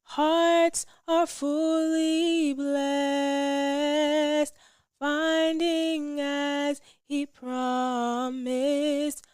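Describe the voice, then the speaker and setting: A woman's voice: high-pitched, flat delivery, drawn-out words, darkish tone, no echo, studio quality, faint music behind. A woman singing a hymn. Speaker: hymn singer.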